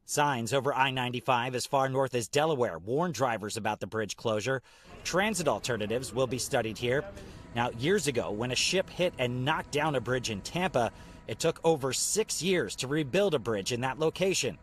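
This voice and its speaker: A man's voice: medium pitch, expressive, normal speed. A man reporting for TV news, moving between narration and live explanation from the field.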